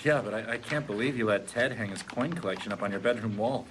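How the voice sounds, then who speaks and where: An actor playing a sensitive, exasperated best friend with emphatic delivery in a sitcom table read. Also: male voice, on the low side, fast, natural voice. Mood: strained cheer. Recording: live room with faint noise.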